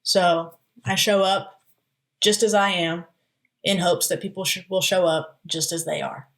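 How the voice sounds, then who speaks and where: A woman's voice: lowish voice, steady tone, unhurried, a bit bright. A woman guest in a podcast interview, speaking candidly and laughing easily, with stumbling restarts. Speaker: music therapist guest. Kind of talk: casual interview chat.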